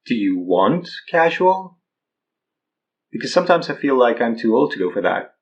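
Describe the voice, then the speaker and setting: A man's voice: mid-range voice, sing-song, moderate pace, creaky, muffled recording. An actor in his thirties playing an easygoing, wry man who poses as a guru in an audio drama. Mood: wry, tender. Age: 30s. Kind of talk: naturalistic audio drama acting.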